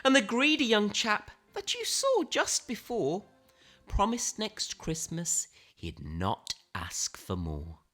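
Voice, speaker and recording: A woman reading a children's picture book aloud in a theatrical way, with dramatic emphasis and suspenseful pauses. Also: mid-range voice, huge pitch swings, a bit bright, soft background music. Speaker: storybook reader.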